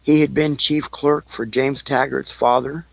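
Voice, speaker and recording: A man narrating an audio play in an amateur, somewhat halting reading, with a gravelly, husky voice. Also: mid-range voice, little pitch movement, dry recording.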